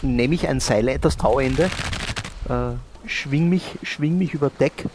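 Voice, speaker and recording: A man improvising a polite, adventurous shopkeeper in an audio play, speaking haltingly and repeating his words. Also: medium pitch, noisy background. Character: adventurous, polite male cork-shop owner.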